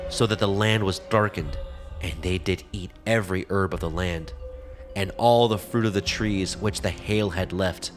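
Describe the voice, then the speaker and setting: A man narrating scripture from a script in a measured, formal manner. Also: low-pitched.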